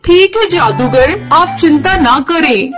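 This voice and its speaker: A woman's voice: high-pitched, animated, slow pace, music in the background. A woman voicing a caring, practical female bird wife in an earnest, homely manner for a children's cartoon fable. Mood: polite, pleading. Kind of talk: Hindi cartoon story voice acting.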